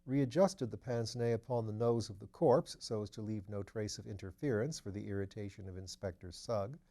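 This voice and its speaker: A man reading a novel aloud as scripted literary narration, with measured, careful phrasing. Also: lowish voice, warm tone. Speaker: audiobook reader.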